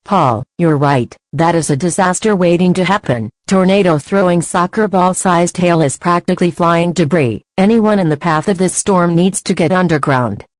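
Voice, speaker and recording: A woman's voice: lowish voice, moderate pace, hardly any pauses, dry recording, close to an everyday voice, poor audio. A woman playing a serious TV weather reporter, giving scripted storm updates in a grave, matter-of-fact way.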